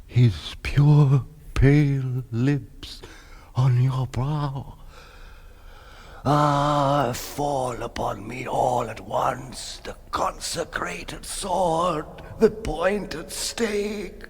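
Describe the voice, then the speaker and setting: A woman's voice: very deep voice, drawn-out words, a little mellow, exaggerated voice. A woman playing a doomed, lovelorn young vampire countess in a gothic radio drama, languishing and solemn, in long reverb. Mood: anguished ecstasy. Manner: languishing, breathy, solemn delivery.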